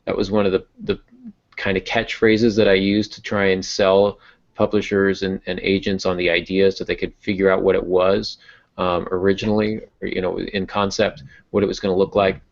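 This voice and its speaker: A man's voice: deep voice, flat delivery, normal speed, creaky. A man chatting casually on a podcast as a novelist, telling anecdotes about writing in a conversational way. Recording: dull, band-limited audio.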